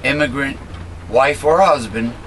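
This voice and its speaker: A middle-aged man with a raspy voice speaks at an ordinary pitch straight into a desk webcam. He rambles in a casual monologue, full of "uh"s and restarts, and voices blunt opinions. A faint hum of noise sits behind him in a small room at home. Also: unhurried, a bit bright, poor audio. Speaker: host of a political commentary channel. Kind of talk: casual monologue, talking to camera.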